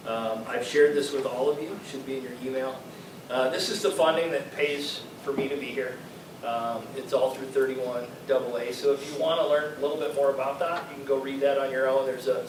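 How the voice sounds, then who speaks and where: A man, a district student-support director, presenting to a school board in a casual, halting way with many fillers. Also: medium pitch. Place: school board meeting room. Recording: echoey room, distant mic, noisy.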